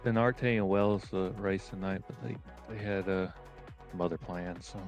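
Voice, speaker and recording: Male gamer guest, fairly deep, loose and joking, faint music underneath. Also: fairly even intonation, normal speed, a little mellow, muffled recording. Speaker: sim racing driver being interviewed. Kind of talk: casual chat.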